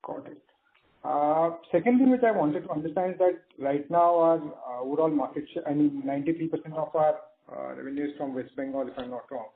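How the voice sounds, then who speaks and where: A man's voice: on the high side, a little fast. A man with an Indian accent asking questions as an analyst calling into an earnings call. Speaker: caller, analyst. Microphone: phone call. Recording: narrow tinny phone line.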